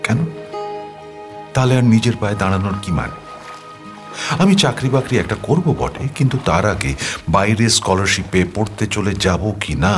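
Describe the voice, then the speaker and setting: A man's voice: lowish voice, close to an everyday voice. A man performing an audio story as a restless young man writing a love letter, reflective and questioning, with music behind him. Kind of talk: dramatic audio story narration.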